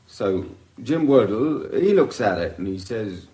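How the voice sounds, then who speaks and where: A man playing a chatty working-class gravel pit labourer with a rural English accent in a radio drama, rambling in a folksy way. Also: on the low side, big pitch swings, a little slow, dark tone. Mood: rambling, startled. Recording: roomy echo.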